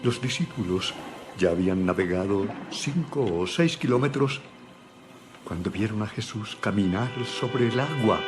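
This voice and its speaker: A man narrates a dramatized Bible in a very low voice. The phrasing is solemn and deliberate, like a scripted storyteller of scripture, with music clearly playing behind. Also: lively intonation. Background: background music.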